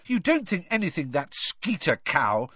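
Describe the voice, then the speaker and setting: A man's voice: on the high side, very animated, unhurried, fairly bright tone, breathy edge, no room sound, highs cut off, voice pushed up, heavily acted. A man voicing an earnest, hot-headed schoolboy wizard who blurts things out. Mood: indignant.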